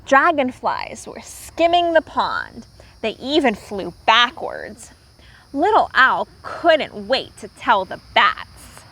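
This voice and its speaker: A woman teacher reading aloud to young children, pausing to explain words simply and repeating herself for clarity. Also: a little high, wildly swooping pitch, bright, forceful tone, rough recording.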